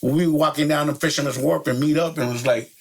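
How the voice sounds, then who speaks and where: A man's voice: fairly high pitch, steady tone, quick pace, creaky. A middle-aged man from El Salvador, a DJ guest chatting casually in laid-back street slang, laughing often and saying "you know" a lot. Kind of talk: casual interview chat.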